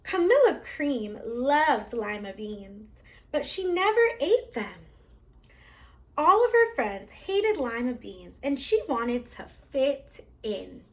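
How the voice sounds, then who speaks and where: A woman hosting a read-aloud, telling a children's storybook in an animated, theatrical way. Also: sits high, slow.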